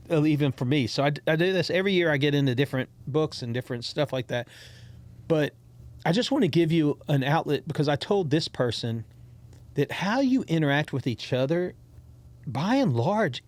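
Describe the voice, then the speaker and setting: A man's voice: on the high side, expressive, moderate pace. A man hosting a podcast in casual conversation. He rambles with frequent restarts and repeated words, and speaks encouragingly to his listeners. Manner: encouraging, talks straight to listeners. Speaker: podcast host.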